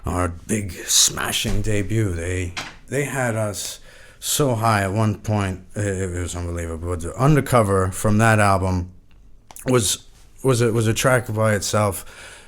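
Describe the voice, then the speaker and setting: An actor playing a washed-up, self-important rock icon in a mock podcast interview, halting and offhand. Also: male voice, on the low side, close to an everyday voice. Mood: nostalgic. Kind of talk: scripted fiction podcast acting, mock interview.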